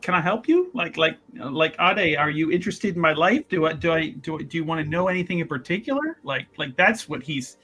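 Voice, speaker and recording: A man in casual tabletop roleplay banter, talking haltingly and repeating words as he builds his point. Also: a little high, lively intonation, bright, forceful tone.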